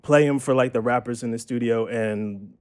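A young man chatting casually as a podcast guest, talking about his work as an audio engineer in a relaxed, rambling way full of "like". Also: mid-range voice, moderate pace. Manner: relaxed, rambling, fills speech with "like". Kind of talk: casual chat.

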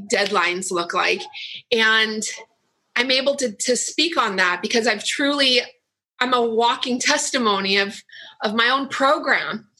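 Woman in her forties, author guest, bright voice, breathy, earnest, restarts a lot, video call. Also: mid-range voice, low-quality recording. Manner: earnest, effusive, many restarts and fillers. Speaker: author and workshop leader, interview guest. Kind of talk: casual interview answers.

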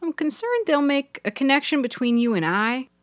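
A woman voicing a bright, wry young woman in a fiction podcast, articulate and emphatic. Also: sits high, very animated, normal speed, no room sound, clean studio sound, voice pushed up. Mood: worried. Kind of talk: audiobook character voice.

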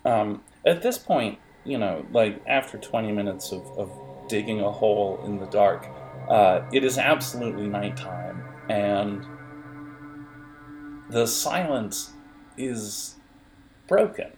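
A man improvising the narration of a tabletop adventure as game master, in a halting, conversational way. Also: on the low side, expressive, over music.

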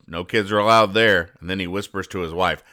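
A man hosting a comedy podcast, reading out comic strips and explaining them in a deadpan, over-literal way. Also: low-pitched, a little fast. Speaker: podcast host.

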